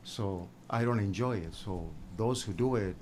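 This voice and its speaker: Native Spanish speaker, male, low-ish voice, emphatic, lots of restarts. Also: moderate pace, darkish tone, a little hiss or hum. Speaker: panel guest, writer. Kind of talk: casual panel discussion.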